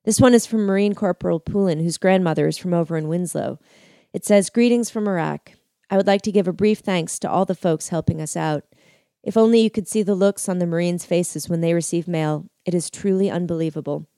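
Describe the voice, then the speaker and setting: A woman's voice: lowish voice, a little fast, warm tone, no room sound, studio quality, lightly acted. A woman voicing an earnest mother of a deployed soldier, reading letters aloud to a crowd.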